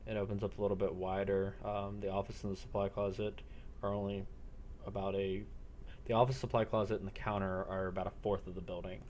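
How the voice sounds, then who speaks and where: A man narrating a role-play game as game master over online voice chat, halting and matter-of-fact. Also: low-pitched, little pitch movement, a little mellow, a little hiss or hum.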